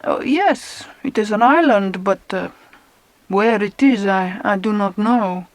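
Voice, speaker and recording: A woman playing a cautious shopkeeper in an audiobook drama, with a halting, thoughtful way of speaking. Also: mid-range voice, dry recording. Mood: cautious, puzzled. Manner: hesitant, halting, thinking aloud.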